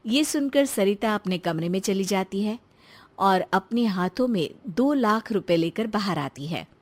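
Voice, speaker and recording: A woman's voice: medium pitch, lively intonation, a little slow. A woman narrating a scripted story as its storyteller.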